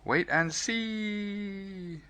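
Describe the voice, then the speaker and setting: A man's voice: sits high, extremely slow, sharp tone, clear, resonant tone, voice pushed up, heavily acted. A man voicing a cheeky, eager little sausage roll in a gleeful, exclamatory storybook manner. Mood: excited.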